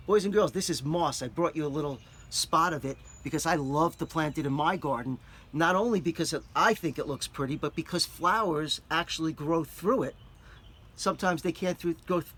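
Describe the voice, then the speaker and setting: A man reading a picture book aloud to children, gently stopping to explain words and show things. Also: fairly high pitch, husky. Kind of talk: children's read-aloud with asides.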